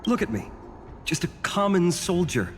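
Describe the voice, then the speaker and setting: A man's voice: high voice, very animated, moderate pace, a little hiss or hum. A man playing a self-deprecating young common soldier, earnest and humble. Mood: self-doubting. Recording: long hall reverb with faint music.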